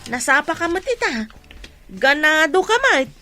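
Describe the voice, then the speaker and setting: Radio drama bit part played by a woman, high piercing cheer, deferential, faint music underneath. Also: very animated, measured pace, pushed and bright, heavily acted. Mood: chirpy, sympathetic. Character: chirpy, deferential acquaintance greeting a gentleman. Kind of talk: Ilocano radio drama acting.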